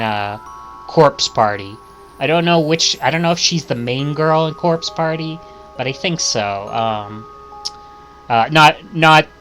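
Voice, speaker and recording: A bright, forward male voice reads a visual novel aloud for a stream. He trips over words now and then and corrects himself, with faint music behind him. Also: mid-range voice. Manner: stumbles and corrects himself while reading. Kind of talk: reading game text aloud with asides.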